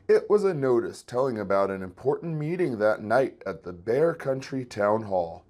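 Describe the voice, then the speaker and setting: A man reading a children's story aloud in an expressive storytelling style. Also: mid-range voice, normal speed. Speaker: children's book reader.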